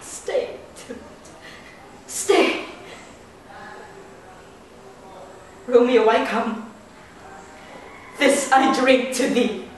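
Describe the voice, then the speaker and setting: A woman playing a frightened, desperate young noblewoman in a classical stage tragedy, with a dramatic delivery that moves between hushed and frantic. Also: a little high, fairly even intonation, slow, voice pushed up. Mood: hushed terror. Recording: very echoey room, distant mic, faint noise.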